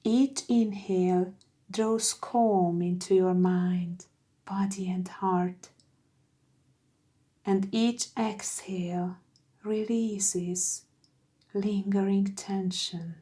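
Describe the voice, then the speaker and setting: A woman guiding a meditation in a soft, soothing, gentle voice with breathy phrase endings. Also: mid-range voice, fairly even intonation. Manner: soothing, gentle, unhurried with long pauses. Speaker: meditation guide. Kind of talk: guided meditation narration.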